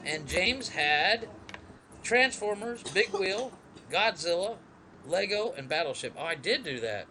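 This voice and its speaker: A man's voice: sits high, lively intonation, unhurried, a crowd in the background. A man co-hosting a casual live-stream podcast, easygoing as he reads lists aloud. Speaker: podcast co-host.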